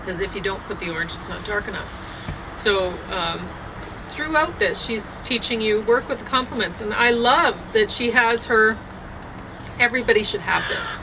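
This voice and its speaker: A middle-aged woman talks in a rambling, chatty way, full of "um"s and restarts. She is recorded outdoors on a deck, with clear background noise of birds and traffic behind her. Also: mid-range voice, animated, normal speed. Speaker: vlogger. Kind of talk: casual outdoor chat. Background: birds and passing traffic.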